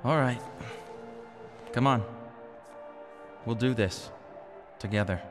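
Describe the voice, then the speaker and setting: A man playing an earnest, blunt young mercenary hero in a video game cutscene, plain-spoken and steady. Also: mid-range voice, takes it slowly, lightly acted. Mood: reassuring. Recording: long hall reverb, faint music.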